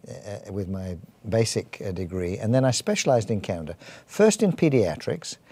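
An English man with a somewhat low-pitched voice answers interview questions. He speaks in a measured, deliberate way, with fillers and restarts. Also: dark tone. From England. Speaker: church health director and physician.

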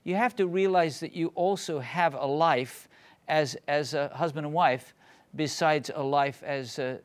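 A man hosting a conversational faith interview, speaking in a reflective, measured way. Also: fairly high pitch. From born in England. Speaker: interview host.

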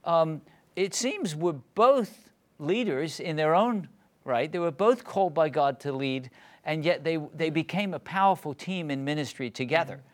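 A man hosting a conversational faith interview, speaking in a reflective, measured way. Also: fairly high pitch, expressive. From born in England. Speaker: interview host.

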